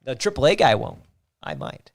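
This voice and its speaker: An American man chats casually as a guest on a show. His mid-pitched voice is recorded dry, and he is jokey and readily pokes fun at himself. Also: sing-song, moderate pace, creaky, no echo. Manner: jokey, self-deprecating. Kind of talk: casual chat.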